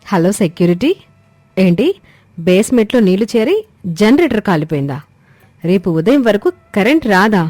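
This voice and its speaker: A woman's voice: medium pitch, lively intonation, normal speed, pauses often, darkish tone, no echo, natural voice. A woman voicing a polite, gadget-proud young city daughter-in-law in a cartoon story, mixing English words into her Telugu. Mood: worried.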